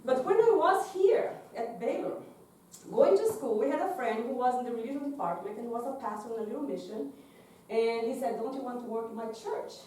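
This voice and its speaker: A woman's voice: on the high side, expressive, brisk, warm tone, heavy reverb, recorded from a distance, poor audio. A woman from Brazil with a Portuguese accent, a doctoral student giving frank panel remarks with frequent self-corrections. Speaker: doctoral student, church music director.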